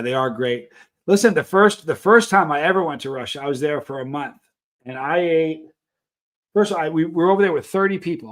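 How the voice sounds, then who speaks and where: Middle-aged male streamer, voice a little on the high side, informal chat with viewers, animated anecdote teller. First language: English. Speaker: live stream host. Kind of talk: casual chat answering live viewers, telling travel anecdotes.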